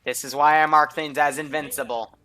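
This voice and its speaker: Male game commander, blunt and sardonic, sharp bright delivery, mission briefing over voice chat, light music underneath. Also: fairly high pitch, fairly even intonation, brisk.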